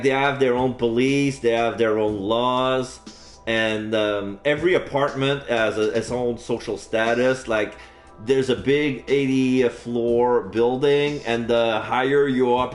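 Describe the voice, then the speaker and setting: A man's voice: mid-range voice, echoey room. French Canadian man streamer, rambling. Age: 40s. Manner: rambling, many fillers and restarts. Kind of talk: casual live-stream chat.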